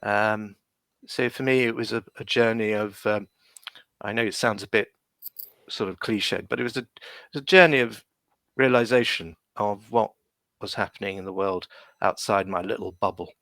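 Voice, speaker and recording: A man's voice: on the low side, moderate pace, gravelly, long pauses, fairly bright tone, no echo. A man, a lawyer and podcast guest, speaking on a video call from London in a reflective, hesitant way with many fillers. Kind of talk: reflective interview answers.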